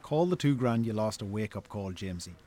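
An actor with an Irish accent playing a reproachful, level-headed brother in a radio drama, measured and blunt. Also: male voice, on the low side, animated, warm tone, slight background noise, natural voice. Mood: cold, reproachful. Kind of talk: naturalistic radio drama acting.

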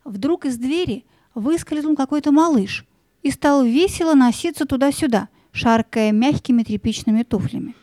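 Mellow, highish female storybook narrator. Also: normal speed, no room sound.

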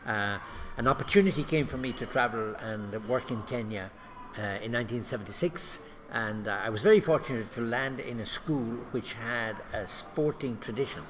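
An older man with an Irish Cork accent, an athletics coach recalling his start in an interview, measured and reflective. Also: medium pitch, highs cut off, slight background noise. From Ireland. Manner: measured, reflective, with many fillers. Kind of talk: interview storytelling.

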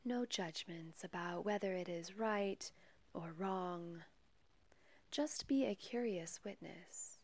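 Female meditation guide, unhurried and gentle, soft breathy delivery with whispery edges, very dry close sound. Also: on the low side, dry recording. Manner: calm, measured, gentle, with long pauses. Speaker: meditation guide and podcast host.

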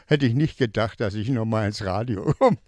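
A man's voice: fairly low pitch, normal speed, darkish tone, no echo. Cheerful man with a Swabian accent. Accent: Swabian German. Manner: cheerful, laughs often. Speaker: studio visitor.

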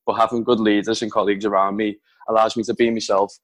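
A young man talks quickly and upbeat. His voice is fairly low. The sound is dry and close. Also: level tone, dry recording. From Liverpool. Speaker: project manager. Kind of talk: recorded testimonial.

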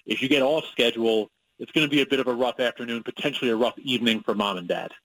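A man's voice: mid-range voice, quick pace, a bit bright, a little hiss or hum, low-quality recording. A man from Long Island with a New York accent, a sportswriter guest talking casually and analytically on a radio interview. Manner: conversational, with many fillers and restarts, analytical.

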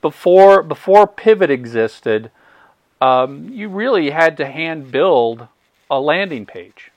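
A man's voice: fairly high pitch, lively intonation, slow, darkish tone, no echo, lo-fi sound. Eager, stammering male podcast host. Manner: eager, stammers by repeating words. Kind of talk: casual interview talk.

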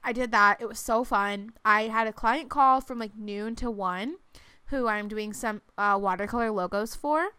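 A woman's voice: a little high, moderate pace, sharp tone, clear, resonant tone, dry recording. A woman in her twenties chatting casually as a podcast co-host, full of fillers and slightly congested. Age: 20s. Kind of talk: casual chat.

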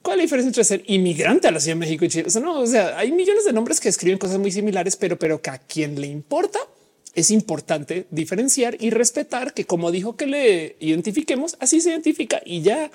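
Husky chatty woman, mixed Mexican-Colombian accent. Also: medium pitch, very animated, fast, a little mellow. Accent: mixed Mexican and Colombian Spanish. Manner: casual, chatty, explains at length with slang. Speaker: livestream host. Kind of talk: live chat reading audience comments.

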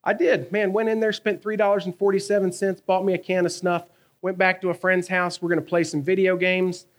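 A man, preaching a sermon in a conversational, animated way, asking his listeners questions and telling stories from his own life. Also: high-pitched, brisk. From American South. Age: 30s. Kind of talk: sermon with personal storytelling.

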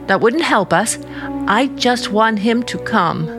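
High-voiced eager teenage girl. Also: animated, slow, over music. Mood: earnest, determined. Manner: earnest, gushing, dreamy. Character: eager, idealistic small-town teenage girl, adoring niece. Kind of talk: radio play acting.

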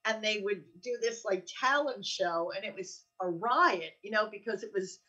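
A woman's voice: mid-range voice, normal speed, bright, forceful tone, husky, far from the mic. Older American woman, chatty, lightly muffled audio. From Allenhurst, New Jersey, United States. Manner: chatty, joking. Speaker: co-host. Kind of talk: casual anecdotal storytelling.

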